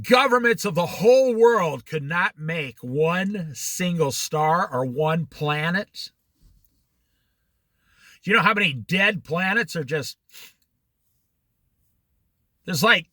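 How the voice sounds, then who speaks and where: A man teaching a casual Bible study in a very bright, high voice that swings widely in pitch. He talks slowly and challenges his listeners with pointed questions, with a dry close sound. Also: dry recording. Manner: animated, challenging rhetorical questions; jokey asides. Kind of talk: informal Bible study talk.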